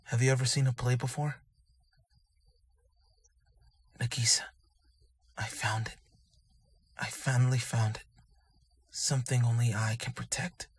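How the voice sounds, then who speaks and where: A man playing a gentle, grieving young father in an anime fan dub, speaking softly and haltingly with remorse and tenderness. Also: mid-range voice, steady tone, slightly rough, lightly acted. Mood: tender, wistful. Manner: soft, halting, emotional delivery with sighs.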